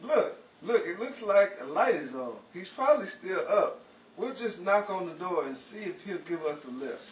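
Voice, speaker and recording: An actor playing a vain, cheap, scheming husband in a 1940s-style radio comedy, with an excitable, whiny, blustering manner. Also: male voice, high voice, normal speed, muffled recording. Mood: sheepish, wheedling. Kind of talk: 1940s old-time radio comedy acting. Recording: echoey, distant, noisy with crowd ambience.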